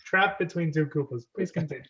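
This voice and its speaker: A man's voice: on the high side, brisk, fairly bright tone. A man casually hosting a script read-through and organising the readers, on a slightly dull recording. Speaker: host of script reading.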